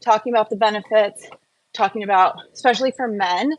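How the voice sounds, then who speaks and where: A woman's voice: mid-range voice, a little fast, highs cut off, slight background noise. A woman hosting a casual live Q&A, talking in an informal, rambling, chatty way. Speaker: live-stream host.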